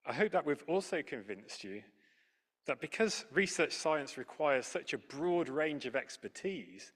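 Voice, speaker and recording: A man's voice: on the high side, a bit bright. A man hosting a science event, thanking one speaker and introducing the next in an upbeat, scripted way. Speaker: event host.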